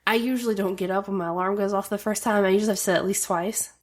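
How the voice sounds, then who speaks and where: A woman's voice: medium pitch, fairly even intonation. A woman co-hosting a casual podcast chat with her husband, stuffy from a passing cold and laughing often as she teases him. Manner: laughs often, teasing, quick asides. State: stuffy nose, slightly sore throat. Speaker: podcast co-host.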